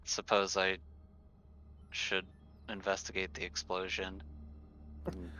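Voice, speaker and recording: Bright-voiced man, halting game player. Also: low voice, monotone. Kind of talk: casual in-game roleplay talk.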